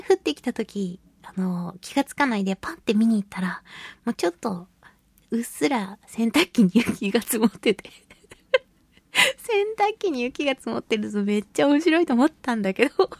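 Clear-voiced woman hosting a casual chat show. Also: on the high side, moderate pace, no room sound. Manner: animated storytelling, often giggling, colloquial. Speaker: voice actress hosting a radio talk show. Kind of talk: casual solo radio chat.

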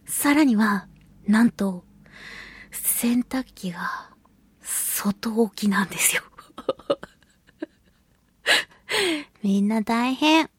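A woman hosting a radio show solo, chatting casually and playfully to listeners with drawn-out words and colloquial asides. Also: mid-range voice, expressive, husky, dry recording. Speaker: radio show host, voice actress.

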